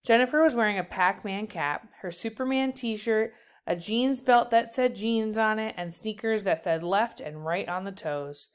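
A woman's voice: mid-range voice, unhurried, hardly any pauses, smooth. A woman narrating a children's book aloud as a storyteller.

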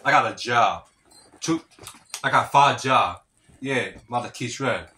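A man speaking slowly in short, broken phrases, repeating greetings over and over. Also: mid-range voice, sharp tone, husky. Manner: slow, repetitive, halting broken phrases. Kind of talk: repetitive phone-style greetings. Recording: faint background noise.

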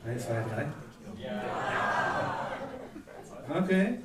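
Man reading a deadpan slam poem. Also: mid-range voice, drawn-out words. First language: Turkish. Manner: deadpan satirical delivery, chatty asides with fillers. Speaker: poetry slam performer. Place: theatre stage. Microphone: stand mic. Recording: echoey hall from a distance, audible noise. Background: audience laughter.

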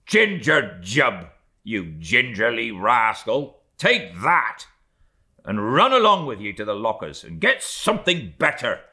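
A man reading a novel aloud, voicing a bluff, excitable ship's mate who mocks loudly. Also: mid-range voice, unhurried, voice pushed up, heavily acted. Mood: blustering. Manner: loud, blustering, sarcastic questioning. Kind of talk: audiobook character voicing.

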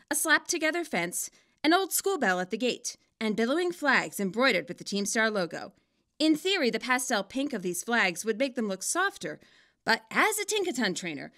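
A woman narrating a scripted story in an expressive, wryly dramatic way. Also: fairly high pitch. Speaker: author narrating own fan fiction.

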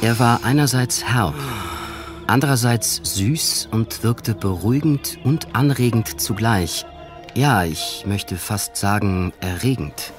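An actor playing an educated gentleman, narrating and speaking formally in an eerie audio drama. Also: fairly low pitch. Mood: composed. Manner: measured, formal, literary. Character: educated gentleman recounting an eerie past adventure. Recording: music under the voice.

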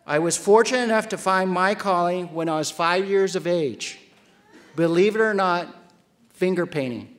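A middle-aged man delivering a prepared, formal motivational commencement speech at a podium microphone, deliberate and halting, with emphatic pauses. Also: high-pitched. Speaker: commencement speaker, brand strategist. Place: large theater stage at a podium.

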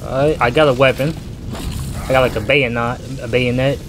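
A young American man giving casual let's-play commentary, slangy and expressive, often repeating himself. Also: mid-range voice, moderate pace, noisy background. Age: young adult. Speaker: gaming YouTuber. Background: game audio and music.

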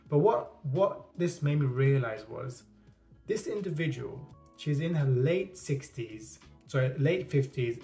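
A man in his thirties talks to the camera in a small room, stuttering through repeated words and restarts. His speech is slow and unhurried, at a middle pitch. Soft guitar music plays faintly behind him. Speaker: vlogger. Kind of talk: casual talking-to-camera vlog.

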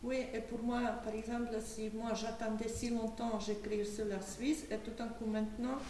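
A woman's voice: fairly high pitch, level tone, normal speed, warm tone, slight background noise. A woman, an author on a literary panel, speaking hesitant French and searching for words. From Slovakia. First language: Slovak. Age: 60s. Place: small dim event hall with audience. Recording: echoey room from a distance.

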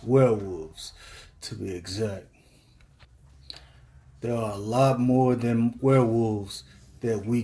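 A man voicing a weary male cowboy monster hunter in an amateur motion comic, grim and low-key. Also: dark tone. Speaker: narrator and voice actor. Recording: over background music.

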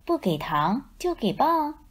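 Woman playing bossy little storybook lamb, high-pitched, childlike, bold, insistent. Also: big pitch swings, slow, exaggerated voice. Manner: insistent, childlike scolding and demanding.

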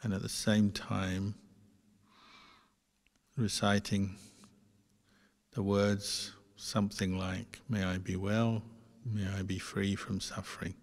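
A man leading a guided meditation as a monk, speaking gently in short phrases with long, unhurried pauses. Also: lowish voice, flat delivery. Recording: long hall-like reverb.

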